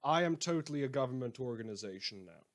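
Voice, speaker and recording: A man's voice: mid-range voice, expressive, fast talker, lightly acted. A man playing a sardonic, theatrical radio host. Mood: grandly announcing. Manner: sarcastic, rambling, mock-grandiose, hesitant repetitions. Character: sardonic, theatrical male radio host turned self-appointed vigilante lawman. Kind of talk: scripted fictional radio monologue.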